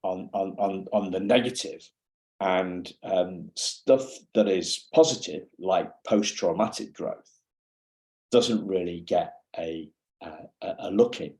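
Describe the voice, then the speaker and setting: A man's voice: deep voice, darkish tone. A male podcast interviewer who halts and repeats words as he forms his questions. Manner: halting, repeats words while forming questions. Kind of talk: casual interview conversation.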